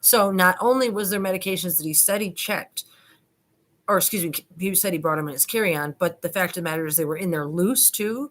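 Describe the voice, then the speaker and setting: A woman hosting a video, reading aloud and commenting in a colloquial, digressive, self-deprecating way. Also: lowish voice. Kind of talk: informal dramatic reading with running commentary.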